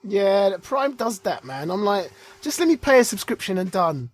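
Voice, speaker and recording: A man with a husky voice, chatting casually as a podcast host and reviewer. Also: sits high, sing-song, normal speed. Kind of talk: casual chat, comics review.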